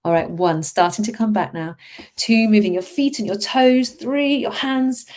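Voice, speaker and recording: A woman hypnotherapist who guides a relaxation with a soothing, slow cadence and also chats casually. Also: medium pitch, darkish tone. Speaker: hypnotherapist and host.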